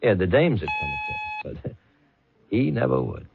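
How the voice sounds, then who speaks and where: An actor playing a streetwise nightclub man who talks in a rambling, halting, slangy way, in a 1970s radio drama. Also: medium pitch, sing-song. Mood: gossipy. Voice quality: gravelly, raspy. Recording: tinny old radio broadcast.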